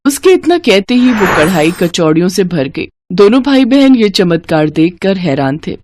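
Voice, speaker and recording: A woman's voice: medium pitch, big pitch swings, normal speed, darkish tone. A woman narrating a scripted moral story as its storyteller.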